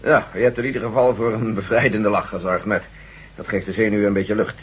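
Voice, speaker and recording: An actor playing a brisk, authoritative general chairing a tense briefing in a radio drama. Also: male voice, on the low side, steady tone, a little hiss or hum, close to an everyday voice. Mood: commanding. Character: General Stevens, brisk military commander. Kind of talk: 1970s radio drama acting. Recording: dull lo-fi radio recording.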